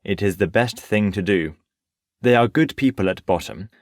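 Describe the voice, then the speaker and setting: Man playing a deep-voiced, urbane nobleman. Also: normal speed, no echo, studio quality, close to an everyday voice. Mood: amused. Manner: urbane, patronising. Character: worldly, amused, unruffled Parisian nobleman. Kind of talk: audio play acting.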